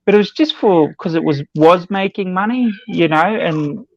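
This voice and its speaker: A man's voice: high voice, moderate pace, a little mellow, dry recording, lo-fi sound. A man on a podcast panel giving his opinions in casual chat.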